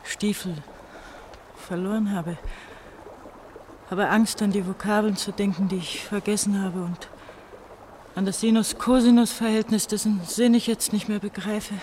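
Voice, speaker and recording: An actress playing a bitter, feverish eighteen-year-old East German girl in a German radio play, brooding and halting in her delivery. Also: mid-range voice, steady tone, darkish tone, slight background noise, close to an everyday voice. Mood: fearful, brooding.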